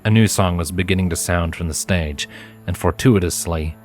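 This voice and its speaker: A man reading fiction aloud as an expressive narrator of a scripted story. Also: deep voice, moderate pace, faint music behind.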